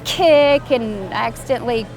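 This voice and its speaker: A woman voice actress speaking casually in an interview, her voice sitting a little high. She sounds upbeat and chatty, and music plays clearly behind her. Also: moderate pace, low-quality recording. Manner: upbeat, chatty, laughs easily. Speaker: voice actress guest.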